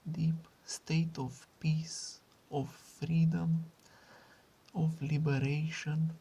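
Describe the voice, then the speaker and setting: A woman with a low, mellow voice guides a meditation in a hushed tone. She speaks very slowly, leaving long pauses and repeating phrases soothingly. Her husky, breathy delivery often drops into a whisper. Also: poor audio.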